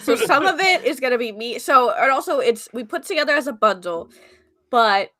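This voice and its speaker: A woman's voice: sits high, lively intonation, a little fast, fairly bright tone, dry recording, studio quality. A woman co-hosting a casual livestream from home, rambling and chatty with lots of fillers. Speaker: livestream co-host.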